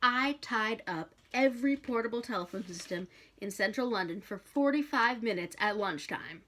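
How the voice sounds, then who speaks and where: A woman's voice: on the high side, animated, moderate pace, fairly bright tone. A woman voicing a sly male demon, cheeky and evasive, with slangy, hesitant protests. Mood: smug.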